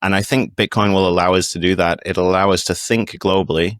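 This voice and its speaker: A man's voice: low voice, fairly even intonation, brisk, no room sound, studio quality. A man with a British accent, speaking as a podcast guest in a casual, reflective, explanatory way with long meandering sentences. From United Kingdom. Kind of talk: casual interview talk, explanatory.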